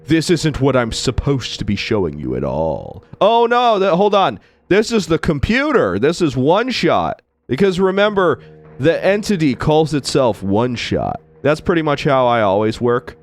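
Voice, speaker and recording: A man's voice: fairly high pitch, big pitch swings, measured pace, dry recording, faint music behind. A man playing a game and chatting through it, playful and rambling, still getting over losing his voice. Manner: playful, rambling, self-mocking. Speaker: gaming channel host. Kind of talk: Let's Play commentary with character voices.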